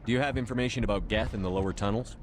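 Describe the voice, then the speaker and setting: Brisk, low-voiced military commander played by a man. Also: noisy background, lightly acted. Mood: resolute. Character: steady human military commander, dutiful hero. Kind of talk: video game dialogue acting.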